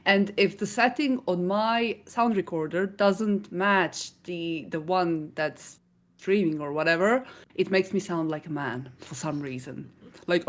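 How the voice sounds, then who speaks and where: Jokey woman guesting on a stream. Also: fairly low pitch. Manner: animated, jokey, laughs often. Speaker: guest co-player. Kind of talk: casual gaming livestream chat. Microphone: own mic with a gain dial.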